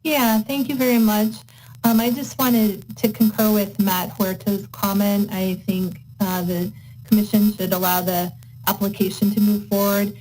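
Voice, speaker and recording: A woman giving formal public comment over an online meeting call, measured and pausing to find words. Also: mid-range voice, little pitch movement, darkish tone, soft background music, poor audio. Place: remote video meeting call.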